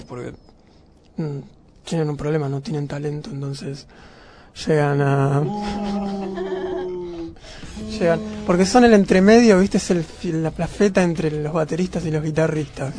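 A man's voice: on the high side, unhurried, pauses often, mellow, faint music behind. A man, a talkative band member joking wryly on radio. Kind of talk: casual interview.

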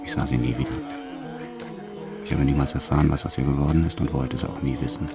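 A very deep, gravelly gangster. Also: male voice, flat delivery, fast, loud music behind the voice. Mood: detached, proud. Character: brutal, cold, proud male French gangster telling his life. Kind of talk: first-person radio play narration.

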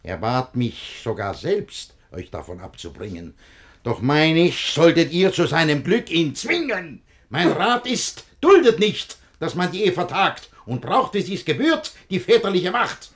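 An actor playing a scheming, smug middle-aged tyrant in a rhymed-verse radio play, declaiming emphatically. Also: male voice, fairly high pitch, big pitch swings, measured pace. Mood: smug, insistent.

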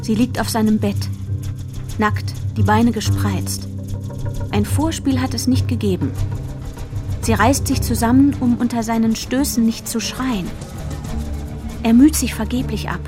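Detached female storyteller played by an actress, fairly high voice, measured literary radio drama narration, faint music underneath. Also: close to an everyday voice. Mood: matter-of-fact.

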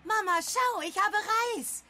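A woman's voice: extremely high pitch, unhurried, pushed and bright, full character voice. A woman playing a young boy, a devoted and desperate brother, in an emotional radio drama. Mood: overjoyed.

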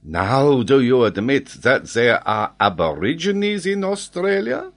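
A man voicing an excitable, triumphant French geographer who argues emphatically and draws out his key words. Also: medium pitch, measured pace, voice pushed up. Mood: triumphant, teasing.

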